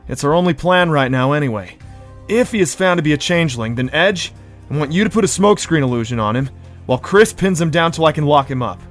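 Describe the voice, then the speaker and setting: A man's voice: on the high side, lively intonation, fast, faint music behind, close to an everyday voice. A man playing an amiable cartoon pony prince with a casual, good-natured and slightly hesitant way of talking. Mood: resolved. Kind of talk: scripted audio drama acting.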